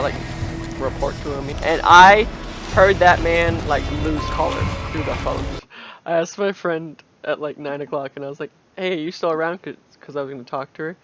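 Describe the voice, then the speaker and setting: Young woman, deep voice, headset mic, rambling streamer chat, music in background. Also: low-quality recording. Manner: rambling, restarts, heavy "like" filler, swears freely. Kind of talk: casual livestream chat. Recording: music playing under the voice.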